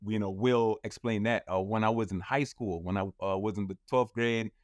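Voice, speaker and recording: A man's voice: lowish voice, steady tone, moderate pace, no room sound, clean studio sound. A man in his thirties, a guest in a casual interview, telling his story in a loose, rambling way full of fillers. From United States. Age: 30s.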